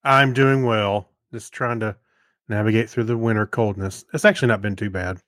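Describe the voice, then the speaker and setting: A man's voice: fairly low pitch, fairly even intonation, moderate pace, a bit bright, no room sound, studio quality. A man chatting casually as a podcast co-host in an easygoing, agreeable way. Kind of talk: casual chat.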